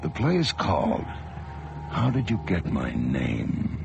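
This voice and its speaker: Super deep gravelly guy announcing a horror radio show, slow and brooding, loud music under him. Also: sing-song. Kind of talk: ominous old-time radio introduction.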